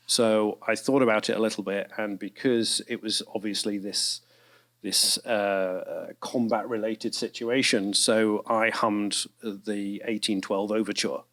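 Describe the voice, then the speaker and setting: A man telling stories in a relaxed, self-deprecating way as a voice actor on a festival panel. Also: lowish voice. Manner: chatty, self-deprecating storyteller. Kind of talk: casual panel talk. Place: fine arts center stage.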